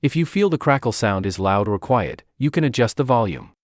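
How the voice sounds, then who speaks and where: A man narrates a scripted how-to for software. His pitch moves in a lively way from phrase to phrase. The recording is close and dry, with very little room sound. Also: brisk, no echo. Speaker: tutorial narrator.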